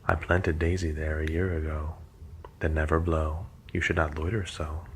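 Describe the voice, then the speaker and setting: A man's voice: rumbling low, flat delivery, normal speed, slight background noise. A man reading as a cautious young maiden. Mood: anxious pleading. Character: cautious young woman, warning her sister.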